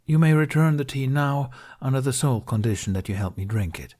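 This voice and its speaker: A man's voice: mid-range voice, animated, a little fast, darkish tone, pitched above the performer's own voice, natural voice. A man reading the part of a kindly, wry older Jedi master in a story, with dry, teasing courtesy. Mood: gently persuasive.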